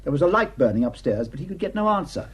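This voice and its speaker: A dogged police superintendent in a radio play. His voice is slightly high and husky, swinging freely in pitch. He questions deliberately, with sardonic, insinuating persistence. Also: sing-song. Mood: probing, insistent. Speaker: actor in a radio drama. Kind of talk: radio drama dialogue.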